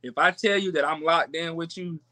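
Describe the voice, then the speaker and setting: A man in his twenties, a podcast guest, chatting in relaxed slang with frequent restarts. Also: fairly high pitch, normal speed. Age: 20s. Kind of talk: casual chat.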